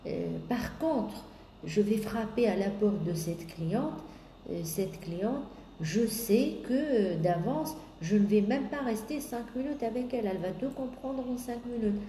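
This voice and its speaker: A woman business coach giving a sales coaching talk, pausing often on drawn-out fillers and listing points in repetition. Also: mid-range voice, animated, mellow. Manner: hesitant, many drawn-out "euh" fillers, repetitive lists. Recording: echoey room with faint background noise.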